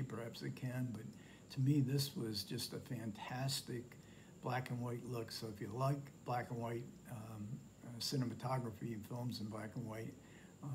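An older man in his sixties talks through a film review from his living room, pausing often with fillers and restarting his sentences. His voice sits at a middle pitch. A faint room hiss sits under the webcam recording. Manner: hesitant, many fillers and restarts. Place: home living room, indoors.

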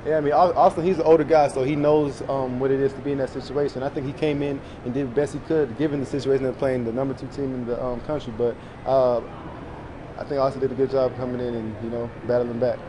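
A young man, a college football player, answering reporters in a press room with short, low-key replies full of fillers. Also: level tone, fast, noisy background. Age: young adult. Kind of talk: post-game press conference answers. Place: press room in front of a sponsor backdrop. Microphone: table mics in front of him.

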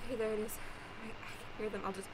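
A woman's voice: mid-range voice, flat delivery, very fast, very noisy, natural voice. An actress playing an anxious young woman on the run, stammering and rambling in an improvised radio play. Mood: nervous, hurried. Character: Zoe, frazzled anxious young woman fugitive. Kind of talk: improvised old-time radio play acting.

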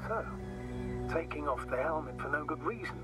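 An actor playing a pragmatic, mission-first male commander in a game, speaking formally with brisk authority over a radio link. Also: on the high side, expressive. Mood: firm. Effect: radio comms. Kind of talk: naturalistic game voice acting. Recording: radio link under loud music.

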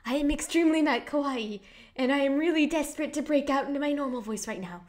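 A young woman putting on a cute, high anime-girl voice, hesitant and giggly, with many fillers. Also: quick pace, a little mellow, lightly acted. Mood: exasperated. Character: cutesy high-pitched anime-style girl voice. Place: quiet room at night.

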